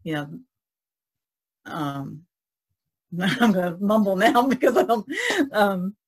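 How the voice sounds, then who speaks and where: A woman co-hosting a casual podcast over a video call, halting as she searches for words. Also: medium pitch, animated, slightly rough. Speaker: podcast co-host, artist. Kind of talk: casual chat. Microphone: video call microphone.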